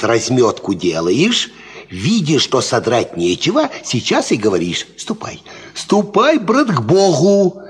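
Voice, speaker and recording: An actor playing a worldly, cocksure peasant farmhand, explaining things in folksy fashion in a 1950s Soviet radio play. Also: male voice, medium pitch, breathy edge, heavily acted. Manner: folksy, animated, instructive.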